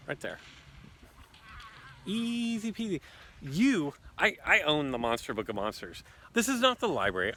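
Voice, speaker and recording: A man's voice: fairly high pitch, pushed and bright. A man giving casual, playfully boastful commentary on a mobile game while walking in a park. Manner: playful, boastful trash talk. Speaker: gaming YouTuber.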